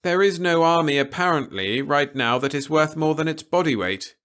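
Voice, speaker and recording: A man voicing a stern, articulate male official in an audiobook, with emphatic, deliberate, formal phrasing. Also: fairly high pitch, expressive, fairly bright tone, clear, resonant tone, voice pushed up. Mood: blunt, explanatory. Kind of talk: audiobook character dialogue.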